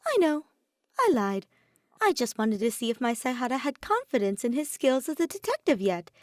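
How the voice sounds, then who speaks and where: A woman voicing a cocky, mischievous teenage boy who talks loud and proud in a teasing way, in a fan fiction audiobook. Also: high voice, sing-song, normal speed, no room sound, clean studio sound, pitched above the performer's own voice. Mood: smug, playful. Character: cocky, mischievous teenage boy, a self-styled supreme leader.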